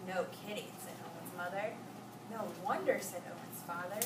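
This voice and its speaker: A woman reading a storybook aloud to children in an expressive way, pausing to ask questions and echo their answers. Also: on the low side. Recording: echoey, noisy room, distant mic.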